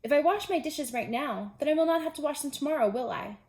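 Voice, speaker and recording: A woman's voice: high voice, expressive, quick pace, darkish tone, voice pushed up. A woman voicing a male toad from a children's storybook, a grumbling homebody who complains in a dramatic, whiny way. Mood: hopeful bargaining. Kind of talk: children's storybook character reading.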